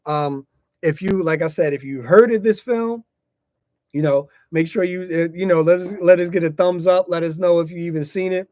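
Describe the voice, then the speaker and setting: American guy hosting a podcast, voice a bit on the high side, loose chatty talk, repeats himself, dry sound. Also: normal speed, dark tone, dry recording. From United States. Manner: informal, frequently repeats himself and tags phrases with "you know". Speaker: podcast host.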